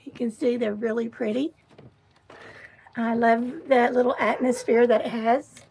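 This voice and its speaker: A middle-aged woman with a hoarse voice, chatting casually as she gives a tour of her camper van. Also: a little high, little pitch movement, a little mellow, a little hiss or hum. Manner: chatty and enthusiastic, pausing while showing things. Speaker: vlogger. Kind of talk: casual home tour vlog. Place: inside a small camper van.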